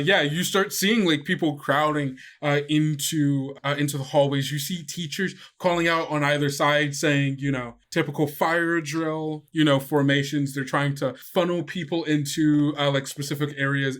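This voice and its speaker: A man's voice: fairly high pitch, fairly even intonation, moderate pace, hardly any pauses, fairly bright tone. A young man running a tabletop game as game master, casual with lots of fillers.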